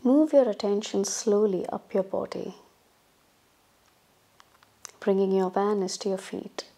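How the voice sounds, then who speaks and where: Female yoga guide, very unhurried and soft-toned, soothing meditation delivery with long pauses. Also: mid-range voice, creaky. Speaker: yoga instructor.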